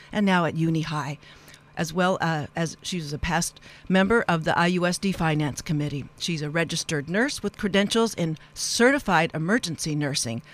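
A woman hosting a live radio interview show, with a wordy, halting delivery that wanders into asides. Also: lowish voice.

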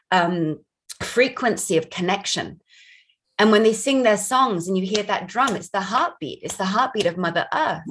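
A woman's voice: medium pitch, slightly rough. A woman from Barbados, a film director, speaking earnestly and reflectively as an interview guest over a video call. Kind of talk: interview conversation.

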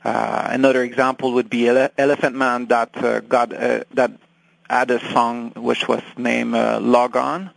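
A man with a French accent speaks in a deeply gravelly, fry-heavy voice. He is a native French speaker answering interview questions, pausing and restarting as he searches for English words. The recording is dry and close. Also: flat delivery, dry recording, poor audio. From France. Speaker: guest.

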